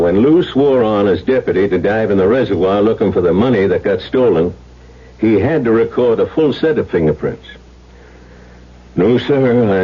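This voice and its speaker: An actor playing a folksy, steady small-town sheriff, unhurried and plainspoken, in an old-time radio drama. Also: male voice, fairly low pitch, breathy edge. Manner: unhurried, plainspoken, friendly but serious. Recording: dull old radio recording.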